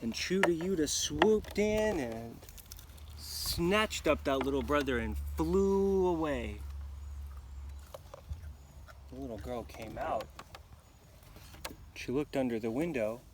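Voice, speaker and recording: A man telling a folktale live to children in an expressive, dramatic manner, pausing to ask his listeners questions. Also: mid-range voice. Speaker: storyteller. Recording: noisy live recording, clear background noise.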